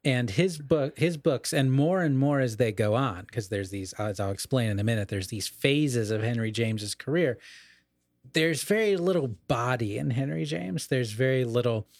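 A man with a mid-pitched voice co-hosts a podcast in a very dry, close-sounding recording. He talks casually but like a scholar, unspooling ideas at length and revising his phrasing along the way. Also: normal speed, no echo. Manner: discursive, scholarly, self-correcting. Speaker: podcast co-host. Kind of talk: casual literary discussion.

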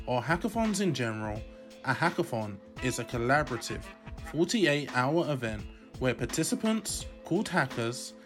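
A man hosting a podcast, reading a scripted intro and then asking interview questions in a measured, upbeat way. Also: mid-range voice. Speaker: podcast host.

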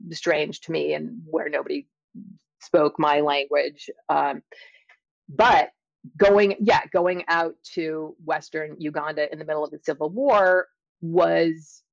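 A middle-aged woman speaking as an interview guest, explaining and telling her experiences in a thoughtful, halting way with drawn-out emphasis. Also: low-pitched, fairly even intonation, creaky, dry recording, lo-fi sound. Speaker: interview guest, scientist and author. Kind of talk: conversational interview, personal storytelling and explanation.

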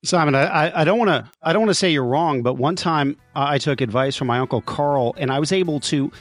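A man's voice: a little high, a little fast, no echo, soft background music. A man co-hosting a radio show in a casual, conversational interview style. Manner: joking, sarcastic. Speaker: podcast and radio co-host.

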